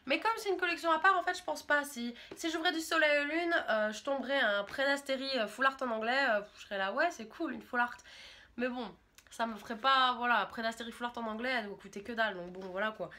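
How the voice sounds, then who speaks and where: French girl in her 20s rambling on camera about her hobby, kinda high voice, bright and sharp. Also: fast. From France. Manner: chatty, rambling, enthusiastic. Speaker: YouTuber. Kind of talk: casual vlog monologue.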